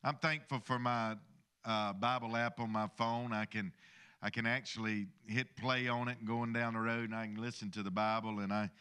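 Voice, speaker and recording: A man's voice: fairly low pitch, little pitch movement, normal speed, bright, forceful tone, clear, resonant tone. A middle-aged man preaching a sermon as pastor from a church stage into a handheld microphone, calling on the congregation for amens. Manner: preaching with call-and-response asides to the congregation.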